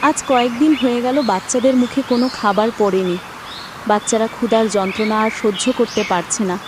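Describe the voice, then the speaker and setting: A woman voices a poor village wife in a moral cartoon. Her fairly high voice pleads with worry. Birds and outdoor noise are clear behind her. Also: fairly even intonation, brisk, close to an everyday voice. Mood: worried pleading. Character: worried, hungry poor wife and mother. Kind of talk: dramatised moral cartoon story. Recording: noisy track with birds and outdoor ambience.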